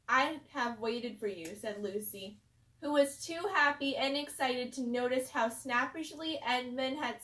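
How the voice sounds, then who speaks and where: A woman reading a children's storybook aloud slowly and carefully, as a teacher at a school. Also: sits high, bright, forceful tone. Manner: slow, careful read-aloud. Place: school room. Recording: echoey room, voice far from the mic.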